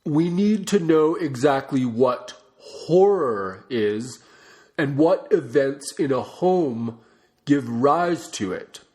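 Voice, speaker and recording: A man reading a non-fiction book aloud as a narrator, with measured, deliberate phrasing. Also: medium pitch, lively intonation, mellow. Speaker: audiobook reader.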